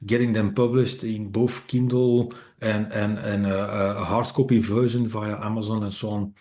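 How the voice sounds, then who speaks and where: A man's voice: medium pitch, dark tone. A middle-aged man whose first language is Dutch gives a casual presentation to an online meetup in English, hesitant and full of fillers, with a wry self-deprecating streak. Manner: hesitant, many fillers and restarts. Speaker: author and speaker.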